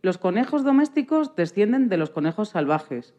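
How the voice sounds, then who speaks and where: A woman's voice: medium pitch, big pitch swings, moderate pace, mellow. A woman presenting scripted educational narration for children, putting questions to listeners. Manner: addresses listeners with rhetorical questions. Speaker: radio programme presenter.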